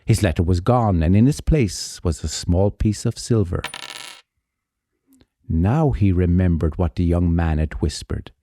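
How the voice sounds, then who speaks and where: A man narrating a folk tale as a storyteller, measured and theatrical, with deliberate pauses. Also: deep voice, warm tone, dry recording. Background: music under the voice.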